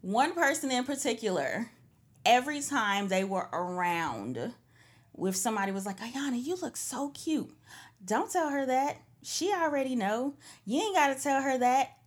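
A woman from Trinidad telling personal stories in a candid, animated way during a casual panel chat, laughing often. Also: high voice, moderate pace. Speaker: panel guest.

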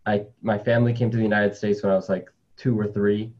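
A relaxed male spider scientist speaking in a low, easy voice, chatty and full of casual fillers. The sound is a little dull and muffled, like remote call audio. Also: quick pace. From Colombia, raised in New York City. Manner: chatty, with many "like" fillers, laughs easily. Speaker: interview guest, spider scientist. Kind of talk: casual conversational interview.

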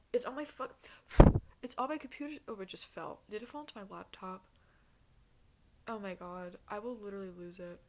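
A woman's voice: medium pitch, creaky, slight background noise. A woman in her twenties hosting a solo podcast in a rambling, casual chat, blunt and self-deprecating, swearing freely. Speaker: podcast host.

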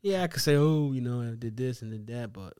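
Teasing thirtysomething podcast host. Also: male voice, medium pitch, expressive, a little fast, dark tone. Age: 30s. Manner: teasing, steers the questions. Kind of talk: casual chat.

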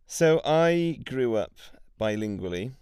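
A young man from Wales, a guest on a podcast, talking in a relaxed and chatty way. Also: medium pitch, takes it slowly, clear, resonant tone, no echo, clean studio sound. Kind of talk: casual conversation.

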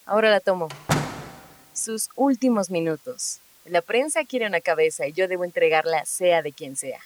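An actress playing a cold, ruthless interrogating officer, curt and authoritative, in a Spanish-language radio drama. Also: female voice, on the low side, moderate pace, slight background noise, natural voice. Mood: cold, threatening.

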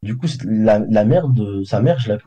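A man haltingly telling a story, full of hesitations, over a bad, distorted mic. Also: fairly low pitch, expressive, a loud crowd. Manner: halting, many fillers.

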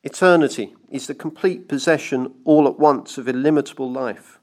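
A timid clockmaker in a dramatised story, voiced by a male narrator. His voice sits well above a plain narrating pitch as he carefully pleads and placates, anxious to keep the peace. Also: normal speed, a little mellow, close to an everyday voice. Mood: earnest, coaxing. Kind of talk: dramatised audiobook reading.

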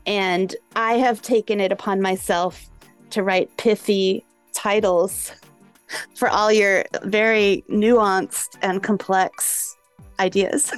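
A woman hosting a podcast in an informal, animated way, full of small asides and casual conversational phrasing. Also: mid-range voice, slow pace, clean tone, soft background music, low-quality recording. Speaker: podcast host.

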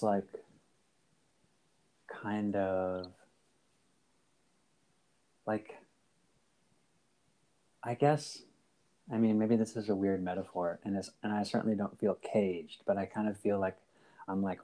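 A man's voice: fairly low pitch, a little mellow. A man hosting a podcast over a phone call, talking casually with lots of fillers and restarts. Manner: rambling, many fillers and restarts. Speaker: podcast host.

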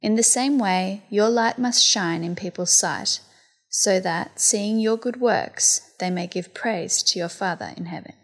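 A woman reading a scripture passage aloud in a steady, deliberate liturgical style. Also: mid-range voice. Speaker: scripture reader.

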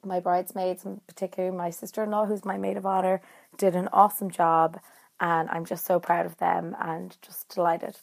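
A woman's voice: lowish voice, level tone, fairly quick, creaky. A woman with an Irish accent, speaking casually as a listener leaving a personal voice message.

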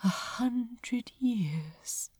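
A gentle angel, played by a woman reading a story aloud, speaks softly and tentatively. He is tender and melancholy, murmuring his words at an unhurried pace. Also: on the high side, expressive, breathy edge, no echo, voice pushed up, full character voice. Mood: wistful murmur.

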